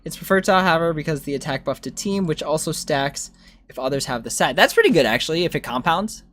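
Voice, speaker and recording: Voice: medium pitch, fast talker. A game streamer chatting casually and informally, thinking aloud and replying to viewers. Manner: informal, animated reactions to chat. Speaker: game livestreamer.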